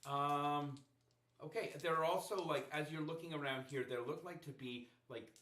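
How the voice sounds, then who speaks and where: Rambling game master in an echoey room. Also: male voice, fairly high pitch, steady tone, moderate pace, a bit bright, distant mic. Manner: rambling, full of fillers and restarts. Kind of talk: narrating a tabletop game.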